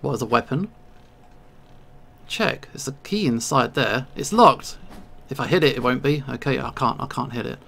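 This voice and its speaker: A man giving casual let's play commentary, thinking aloud in short, halting remarks. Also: medium pitch, creaky, a bit bright. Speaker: video game player and host.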